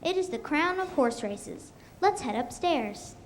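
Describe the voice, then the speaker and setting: A woman's voice: high-pitched, expressive, a little slow. A young female performer playing a TV reporter in a scripted school radio show, announcing in a presentational way. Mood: upbeat announcing. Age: child or young, elementary school performer. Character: bubbly female TV reporter touring the Kentucky Derby. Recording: noisy amateur live stage recording.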